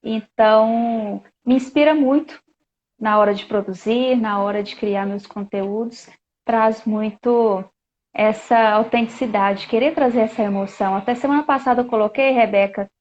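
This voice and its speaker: A woman's voice: on the high side, moderate pace, a little mellow. A Brazilian woman hosting a live online chat, talking casually with plenty of fillers. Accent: Brazilian Portuguese. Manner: chatty, reads viewer comments aloud, many fillers. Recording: dull lo-fi live-stream audio.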